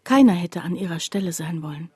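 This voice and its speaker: An actress playing a reflective woman who narrates a radio drama about a destitute friend in a confiding, deliberate way, with a husky, breathy voice. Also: on the low side, lively intonation, a little mellow, dry recording, clean studio sound. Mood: troubled, reflective. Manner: confiding, deliberate, addressing the listener directly. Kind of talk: intimate radio drama monologue.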